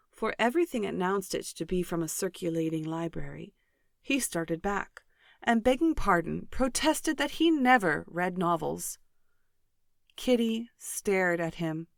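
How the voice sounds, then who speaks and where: A woman's voice: on the low side, gravelly, dry recording, clean studio sound. A woman reading classic fiction aloud as scripted narration. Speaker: audiobook narrator.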